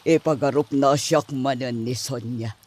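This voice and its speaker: A woman's voice: rumbling low, fairly even intonation, a little slow, a little mellow. A woman playing a coy neighbour being courted in an Ilocano comedy drama, with an Ilocano accent. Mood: coy, amorous.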